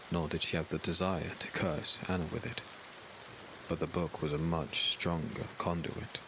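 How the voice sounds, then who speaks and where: A man narrating a scripted dark fantasy audiobook in a grave, dramatic storytelling manner. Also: low-pitched, noisy background.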